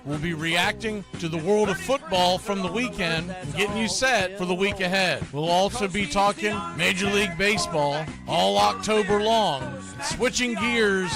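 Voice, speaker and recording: A man's voice: high-pitched, moderate pace, vocal fry. A man announcing sports and sponsor spots in a showy, folksy radio play-by-play style. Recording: music bed under the voice. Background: music.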